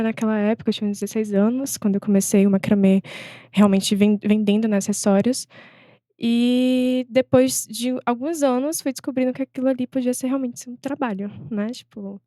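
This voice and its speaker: A young woman speaks as a podcast guest, her voice mellow and soft-edged. She talks in a thoughtful, searching way, circling back on her words. The sound is dry and close. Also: a little high, dry recording. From Salvador, Brazil. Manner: reflective, many fillers and restarts. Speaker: guest artist. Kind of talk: casual interview chat.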